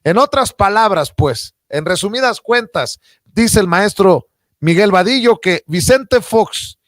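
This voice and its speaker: A Mexican man with a notably high voice presents political commentary as a show host. His delivery is emphatic and accusatory, and the sound is dry and close. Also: expressive, unhurried, fairly bright tone, dry recording. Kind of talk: political news commentary, partly read from notes.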